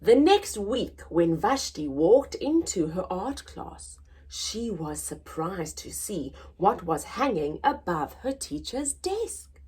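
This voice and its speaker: A woman hosting a children's story time, reading aloud in an expressive, deliberate, sing-song way for small children. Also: medium pitch, husky. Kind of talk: animated read-aloud for young children.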